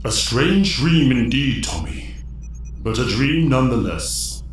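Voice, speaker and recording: An echoing, solemn ancient mentor spirit. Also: male voice, sing-song, slight background noise. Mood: calm, pondering. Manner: solemn, formal, measured. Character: ancient wise fatherly male mentor spirit, calm. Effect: booming echo. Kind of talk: audio drama acting. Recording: heavy echo, music underneath.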